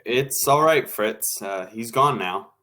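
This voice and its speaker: A wry, bossy radio show man from a vintage-style radio play , acted by a man. His pitch is middling and his delivery dry and casual. He takes charge without fuss. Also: expressive, slow, sharp tone. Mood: matter-of-fact. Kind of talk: old-time radio drama acting.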